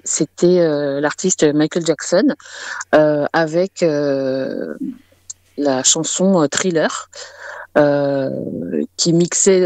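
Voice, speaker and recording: A woman's voice: low-pitched, a little mellow, no echo. Woman artist answering hesitantly, close dry sound. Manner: hesitant, searching for words with frequent repeats. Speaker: interviewee, singer-songwriter and music artist. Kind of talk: conversational radio interview answers.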